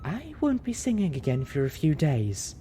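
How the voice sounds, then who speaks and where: A man voicing a haggard female pony medic, raspy, dry and snarky, in a story reading. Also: on the high side, wildly swooping pitch, normal speed, warm tone, voice pushed up, heavily acted. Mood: dour, snarky. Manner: dry, somber.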